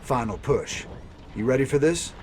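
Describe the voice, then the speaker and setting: An actor playing an earnest, weary but determined rebel commander in a video game. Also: male voice, medium pitch, lively intonation, normal speed, a little mellow, slight background noise, lightly acted. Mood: urgent.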